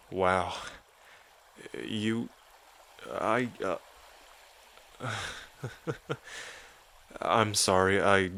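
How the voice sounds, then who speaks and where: A man's voice: sits low, fairly even intonation, drawn-out words, pauses often, bright, forceful tone, a little hiss or hum, full character voice. A man playing an affectionate dragon king in an intimate ASMR roleplay, speaking in a casual, tender way to a lover. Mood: tender, adoring. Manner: tender, casual, hesitant when moved.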